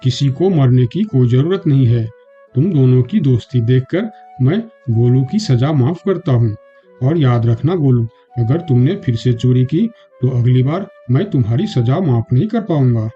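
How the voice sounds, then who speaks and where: A man's voice: medium pitch, animated, moderate pace, lightly acted. A man voicing a regal lion king in a children's cartoon story, stern but forgiving and remorseful. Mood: stern, merciful. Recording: dull muffled audio with music.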